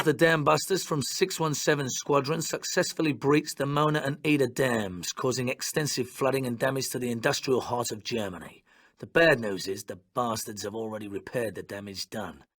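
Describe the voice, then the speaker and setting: A man's voice: mid-range voice, a bit bright, lightly acted. Man acting a commanding British army officer, noisy recording. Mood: wry, explanatory. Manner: commanding, clipped, matter-of-fact. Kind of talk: scripted military briefing in a war game.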